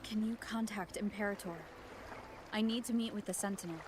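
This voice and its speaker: A composed young adventurer played by a woman speaks at a middle pitch for a video game. Her manner is direct, serious and probing. Loud music runs behind the voice. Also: fairly even intonation, fairly quick, natural voice. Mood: urgent, curious.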